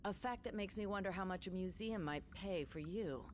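A woman playing a cool, dry alien assassin in a video game, speaking in a measured, formal way. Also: medium pitch, a little hiss or hum, natural voice. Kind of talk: animated video game cutscene acting.

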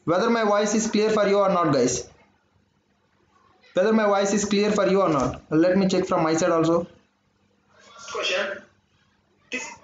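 A man's voice: sits high, moderate pace, long pauses. Indian guy teaching math online, says my dear friends a lot, repeats stuff, echoey muffled mic with a bit of hiss. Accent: Indian English. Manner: repeats phrases, keeps saying "my dear friends" and "understood or not". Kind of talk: live online math class. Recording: dull, roomy audio with faint noise.

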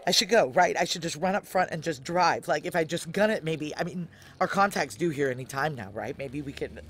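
An actress playing a tough, desperate fugitive, telling her story in an intense, halting, urgent way in an audio drama. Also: low-pitched, animated, husky. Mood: anxious. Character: tough, desperate female fugitive narrating a sci-fi heist. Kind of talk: dramatic first-person audio drama narration and dialogue.

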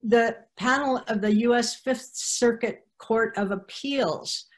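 A woman's voice: a little high, takes it slowly. An older woman speaking informally as a panelist on a video call, often searching for her words. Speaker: panelist, activist coordinator. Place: room at home, on a video call.

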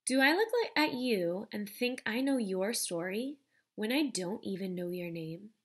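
A young adult woman, a teacher, reading a children's picture book aloud in a deliberate, expressive way, speaking directly to young listeners. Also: medium pitch, husky.

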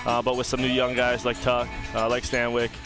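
A man's voice: mid-range voice, completely flat delivery, brisk, music in the background. A young man, a lacrosse player, giving a post-game interview on a headset at an outdoor stadium sideline. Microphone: broadcast headset mic.

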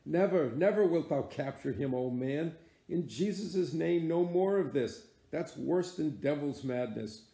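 A devout first mate pleading with his captain in earnest, archaic speech, pitched a bit higher than the reader's own voice, as a man puts him on. The tone stays gravelly and dark, heard in an echoey room. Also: expressive, measured pace.

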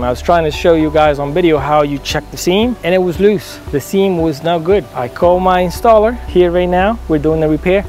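Contractor, 30s, slightly high voice, lively and informal, recorded outdoors. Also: male voice. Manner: energetic, informal, demonstrating with tools. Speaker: roofing company owner. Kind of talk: on-site vlog walkthrough. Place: outdoors on a residential rooftop. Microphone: lapel.

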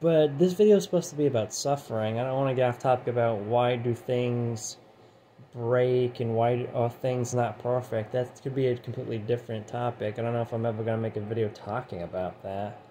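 Mellow, rambling man with a fraying voice. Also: mid-range voice, steady tone, brisk. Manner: rambling, profane, full of "you know". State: voice going hoarse. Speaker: channel host. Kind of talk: casual rambling monologue. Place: his room, indoors. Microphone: phone set down nearby. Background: heater hum.

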